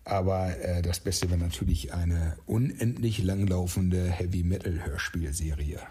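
A man's voice: low voice, steady tone, unhurried, dark tone, slightly rough. A man answering interview questions conversationally as the creator of an audio drama project.

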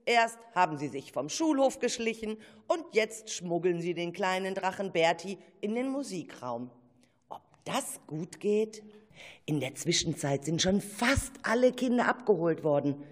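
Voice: medium pitch, very animated, unhurried, big-room sound. A narrator telling a children's radio play with a dramatic storytelling delivery.

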